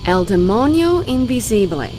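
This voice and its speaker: A woman's voice: a little high, very animated, moderate pace. An actress playing a ruthless, contemptuous female crime boss in a video game, haughty and commanding. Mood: irritated contempt. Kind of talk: video game cutscene acting. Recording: music behind.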